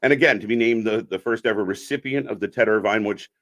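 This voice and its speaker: A man's voice: on the low side, fast, fairly bright tone. A man hosting an informal interview in casual chat style, often repeating short affirmations. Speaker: host of a wrestling fan channel.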